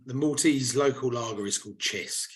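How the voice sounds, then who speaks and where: A man, slightly hoarse, co-hosting a casual podcast and telling stories with lively, laddish banter. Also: medium pitch, moderate pace. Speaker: podcast co-host. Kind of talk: casual chat.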